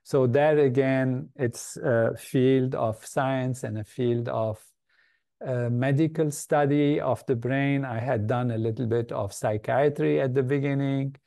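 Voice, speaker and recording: An older man from Lebanon, a guest in an interview, giving measured, thoughtful answers with frequent pauses and fillers. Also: medium pitch, steady tone, vocal fry, mellow. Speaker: guest, meditation organization leader.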